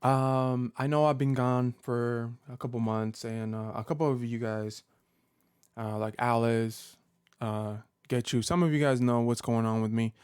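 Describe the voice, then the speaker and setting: Soft-spoken man in his thirties, halting. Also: medium pitch, fairly even intonation, smooth, dry recording, studio quality. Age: 30s. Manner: halting, many fillers and restarts. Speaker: Pokemon content creator. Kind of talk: personal vlog update.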